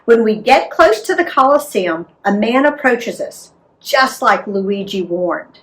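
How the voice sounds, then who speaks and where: An American woman narrating a travel memoir as an author reading aloud, in an expressive, deliberate storytelling manner. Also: medium pitch, rough recording. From United States.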